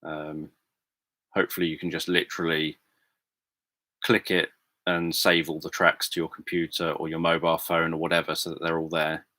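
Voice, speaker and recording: Deep-voiced man, soft and halting. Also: completely flat delivery, no echo, clean studio sound. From England. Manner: hesitant, many fillers and restarts. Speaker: livestream host. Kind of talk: casual livestream chat answering viewers. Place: home room.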